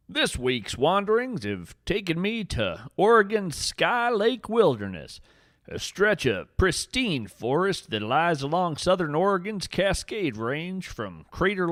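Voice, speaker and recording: A man's voice: high-pitched, fairly bright tone, no echo, studio quality. A man narrating a scripted story as a podcast host, with a theatrical, poetic and solemn delivery.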